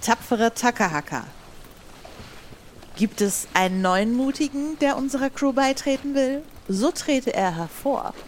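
A woman acts out a pirate captain with a fairly high, swooping voice. The captain is stern and brisk, declaiming orders imperiously in an audio adventure, with faint noise behind. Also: animated. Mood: commanding. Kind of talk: improvised audio drama acting.

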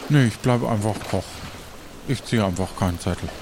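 A man's voice: on the low side, moderate pace, a little mellow, a little hiss or hum. A man casually improvising narration and banter as game master of an audio play. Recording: music behind the voice.

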